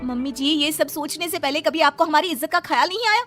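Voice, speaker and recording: A woman's voice: high voice, fast talker, a bit bright, faint music behind. A woman voicing a prudish, scolding married daughter-in-law in a cartoon story, dramatic and gossipy. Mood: indignant, scolding.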